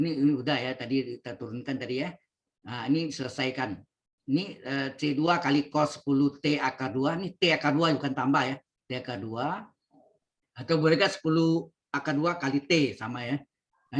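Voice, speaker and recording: A man leads an online calculus class. He repeats each step slowly and keeps checking in with his students over the call. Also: on the high side, lo-fi sound. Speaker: lecturer. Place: home, video call. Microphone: computer mic on video call.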